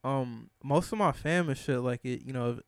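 A man's voice: mid-range voice, normal speed, dry recording. A man from Michigan, a podcast guest, chatting casually and loosely in a studio, full of slang and repeated fillers. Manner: slangy and loose, peppering talk with "bro" and "like". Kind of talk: casual chat.

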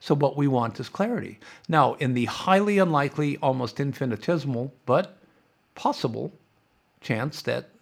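A man's voice: medium pitch, animated, normal speed, gravelly. A middle-aged man hosting a podcast as a sarcastic, opinionated casual monologue, congested and losing his voice. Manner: sarcastic, mock-chanting opponents. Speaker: podcast host.

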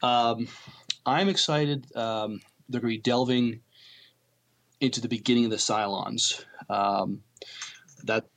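A man's voice: mid-range voice, steady tone, takes it slowly, long pauses, a bit bright. A man co-hosting a podcast, talking and reading news in a hesitant way, often stumbling over words. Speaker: podcast co-host.

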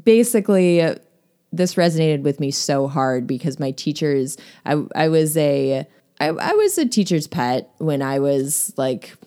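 A woman's voice: low voice, very animated, measured pace, dark tone. A woman discussing a novel in a casual podcast chat, talking with many fillers and easy laughs. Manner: chatty, lots of fillers and laughs.

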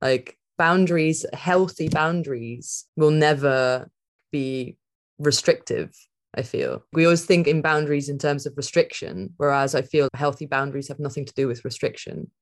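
A woman's voice: deep voice, fairly even intonation, normal speed. A woman speaking as a podcast guest, thinking aloud in a rambling, reflective way, with many "like" fillers and frequent laughter. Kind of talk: reflective conversational interview.

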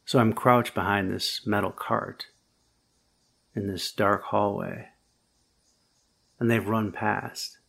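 A man with a low, gravelly voice delivers a sermon. His tone is husky and a little rough. He speaks slowly and thoughtfully, rising to firmer emphasis at the key points. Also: fairly even intonation, a bit bright. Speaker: preacher. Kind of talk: sermon with first-person storytelling.

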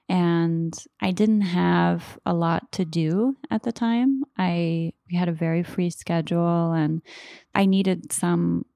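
Low, creaky-voiced American woman, thoughtful. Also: mellow, no room sound, studio quality. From Oklahoma, United States. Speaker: author and literary translator. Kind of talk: reflective personal storytelling.

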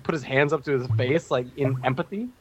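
A man's voice: medium pitch, fairly quick. A man co-hosting a podcast, casually discussing television in a joking, mock-incredulous way. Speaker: podcast co-host.

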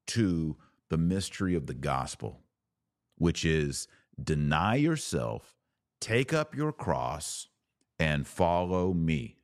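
A man hosting a religious podcast, preaching in an earnest, emphatic way with deliberate, broken-up phrasing. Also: sits low, no room sound, studio quality. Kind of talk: preachy video commentary.

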